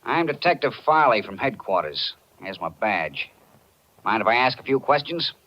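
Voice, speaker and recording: A blunt American detective played by a man questioning like he already suspects the answer. His mid-pitched voice is brisk and hard-nosed. The old radio recording sounds dull. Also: sing-song, a little hiss or hum, lightly acted. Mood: businesslike. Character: blunt, suspicious male police detective. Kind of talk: 1940s radio acting. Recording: muffled 1940s radio recording.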